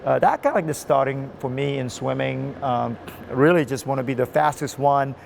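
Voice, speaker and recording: A man from Indonesia who coaches swimming, talking loosely in a casual interview and looping through fillers like "you know." His voice has a gravelly edge over a middling pitch. A faint hum of noise sits under the recording. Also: moderate pace, darkish tone. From Indonesia (Bali). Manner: rambling, many fillers and restarts. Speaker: swim coach.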